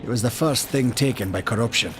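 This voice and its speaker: A man's voice: mid-range voice, quick pace, a bit bright, soft background music, natural voice. A man playing a chatty, wily and cowardly Maker trader in a fantasy game, with a folksy, sly way of talking.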